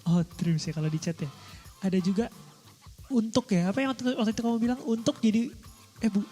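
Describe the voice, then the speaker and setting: A man's voice: high-pitched, fairly quick, mellow, soft background music. A young man co-hosting a podcast, trading jokes and teasing banter in casual chat. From Indonesia. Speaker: podcast co-host.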